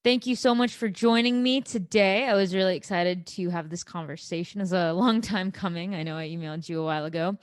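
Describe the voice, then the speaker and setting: A woman's voice: on the low side, fairly quick, few pauses, no room sound. A young woman hosting a podcast, asking questions casually with plenty of likes and ums. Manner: chatty, with many likes and ums. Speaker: podcast host.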